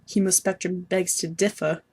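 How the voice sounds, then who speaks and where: Woman as haughty teenage-style boy sea troll, raspy, sulky, pompous, amateur cartoon dub delivery. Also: fairly low pitch, little pitch movement, moderate pace, darkish tone. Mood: condescending. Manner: pompous, petulant, self-pitying. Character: haughty, sulky young male sea-dwelling troll schemer. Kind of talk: amateur animated-webcomic voice acting.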